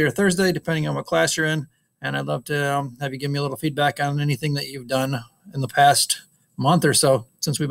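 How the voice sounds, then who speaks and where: A man's voice: a little high, vocal fry. A middle-aged man, a woodshop teacher, giving a casual recorded update to his class over a webcam, with many ums and uhs. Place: school wood shop. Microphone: webcam on a screen recording.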